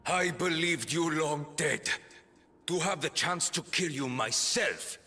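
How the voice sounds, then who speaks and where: A gravelly, menacing villain. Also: male voice, a little high, expressive, takes it slowly, pushed and bright, full character voice. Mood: cold malice. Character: cold, menacing villain relishing vengeance. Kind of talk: scripted video game cutscene acting. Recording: long reverb, music behind.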